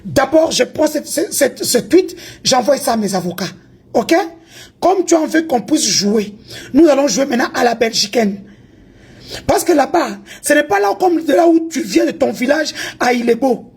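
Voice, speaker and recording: A woman from Congo speaks in a high, pointed voice. She is heated and confrontational, taunting her target as she mixes in a second language. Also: lively intonation, moderate pace, darkish tone, slightly rough. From Congo (DRC). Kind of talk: heated online rant.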